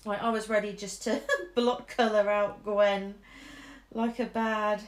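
A woman's voice: mid-range voice, flat delivery, measured pace, roomy sound. A young woman from Shropshire with a mixed English Midlands accent, chatting casually with many ums while she draws at home. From Shropshire, England. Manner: chatty, many ums and restarts, laughs easily. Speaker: artist streamer. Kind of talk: casual chat while drawing. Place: living room at home, indoors. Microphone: camera over the shoulder.

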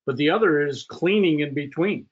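A man with a slightly high voice explains his thinking in a meeting discussion. The sound is a somewhat dull video call recording. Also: normal speed, slightly rough. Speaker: school board chair in a meeting.

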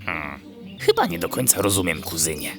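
A curious young fox from a children's bedtime story, eager and squeaky. The pitch leaps up high and swoops, with slow, creaky, questioning delivery over music. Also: male voice, wildly swooping pitch, exaggerated voice. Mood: curious, puzzled.

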